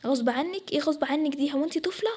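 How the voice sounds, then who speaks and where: Woman acting a harsh, fast-talking Egyptian mother. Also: high-pitched, a little mellow, natural voice. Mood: angry, threatening. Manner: scolding, dismissive. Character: harsh, scornful mother who favours one daughter. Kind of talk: acted Arabic family story.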